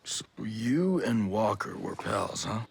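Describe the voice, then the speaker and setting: A tough soldier, real deep and gravelly, short blunt lines, game cutscene. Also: male voice, big pitch swings, slow pace, heavily acted. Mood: steady. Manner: blunt, terse, menacing. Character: gruff male special forces soldier. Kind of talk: video game cutscene acting.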